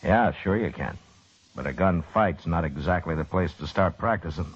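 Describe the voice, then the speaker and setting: Deep-voiced, laconic frontier marshal. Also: male voice, normal speed, breathy edge. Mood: dry, calm. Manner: laconic, firm, dry. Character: steady, stern, dry-humored U.S. marshal of the Old West. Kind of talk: old time radio western acting. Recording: muffled, faintly noisy old radio recording.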